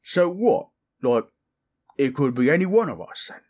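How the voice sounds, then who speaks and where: A man voicing a dim, good-natured orc chieftain in a fantasy audio roleplay, with a husky voice and a blustery, incredulous manner. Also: medium pitch, sing-song, a little mellow, pitched above the performer's own voice. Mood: baffled, amazed. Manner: slow-witted, blustery, incredulous.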